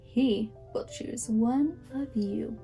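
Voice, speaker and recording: Woman reading as a slow, declamatory train conductor. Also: on the high side, gravelly, warm tone, faint music behind, heavily acted. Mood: announcing. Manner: calling out, declamatory. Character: male train conductor, commanding and inviting. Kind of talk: storybook read-aloud character.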